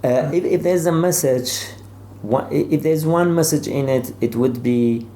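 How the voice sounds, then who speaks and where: Man, dark mellow tone, halting and searching, repeated words, author interview, echoey room. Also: a little high. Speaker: novelist being interviewed. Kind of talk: informal literary discussion. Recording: roomy echo with faint background noise.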